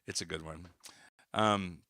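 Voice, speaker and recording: A man with a hoarse, recovering voice, chatting casually to viewers on his livestream with plenty of fillers and restarts. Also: low voice, fairly even intonation, measured pace, sharp tone, dry recording. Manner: rambling, many fillers and restarts. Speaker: worship singer hosting a livestream.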